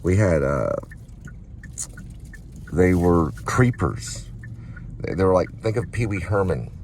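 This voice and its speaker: Low-voiced rambling man in a noisy car. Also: lively intonation, creaky, a little mellow. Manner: halting, digressive, repeats himself. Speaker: vlog host. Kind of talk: rambling personal storytelling vlog. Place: inside a car while riding. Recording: noisy moving car interior.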